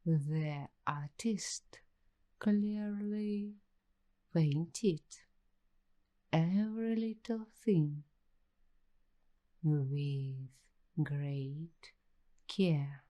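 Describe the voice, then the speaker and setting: Woman as very slow, low-voiced uneasy ASMR waitress. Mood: intrigued. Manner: hushed, halting delivery in short broken phrases with long pauses. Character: uneasy, suspicious young waitress fascinated by an eerie discovery. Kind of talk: slow ASMR roleplay, addressing the listener.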